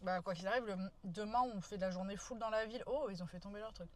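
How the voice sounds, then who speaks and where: A young woman chatting informally and slangily with live viewers, soft-spoken by nature. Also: medium pitch, fast talker. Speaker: streamer, travel vlogger. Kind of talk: casual live chat with viewers.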